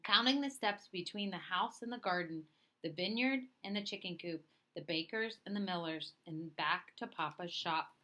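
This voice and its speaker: A woman reading a picture book aloud as a storyteller, with expressive, deliberate phrasing. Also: fairly low pitch. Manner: dramatic, deliberate phrasing with acted dialogue. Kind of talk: expressive children's read-aloud narration.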